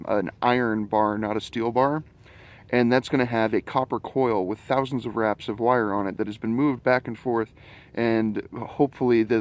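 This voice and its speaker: Male gadget reviewer, mid-pitched voice, informal and chatty, talking viewers through features in a rambling, off-the-cuff way. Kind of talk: casual product review, explaining to viewers.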